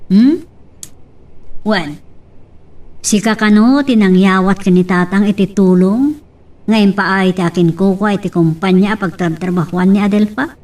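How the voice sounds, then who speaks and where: A woman's voice: mid-range voice, unhurried, pauses often, darkish tone, recorded from a distance, lightly acted. A woman with an Ilocano Filipino accent playing a questioning, suspicious daughter in an audio drama. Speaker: actor in an Ilocano radio-style drama. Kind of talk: amateur audio drama acting.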